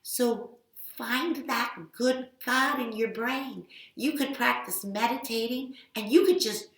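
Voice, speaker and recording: A woman voicing a gentle, wise sheep. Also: on the high side, voice pushed up. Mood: soothing. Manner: soft, soothing, unhurried. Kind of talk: improvised children's story. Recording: roomy echo.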